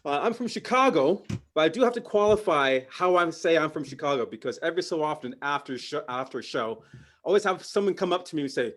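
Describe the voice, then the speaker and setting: A middle-aged man, doing a stand-up comedy set, delivering deadpan punchlines and slipping into mock voices. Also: a little high, fast. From Canada. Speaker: parent.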